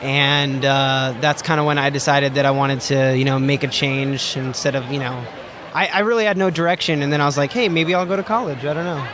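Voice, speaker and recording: A young man from Southern California answering interview questions in a relaxed, hesitant way. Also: mid-range voice, brisk, a crowd in the background.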